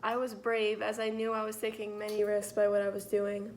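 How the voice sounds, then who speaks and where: A woman's voice: medium pitch, monotone, fairly quick, slight background noise, natural voice. A woman playing a poised French spymaster. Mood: composed. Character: poised, daring female French spy chief. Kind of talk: school project role-play interview.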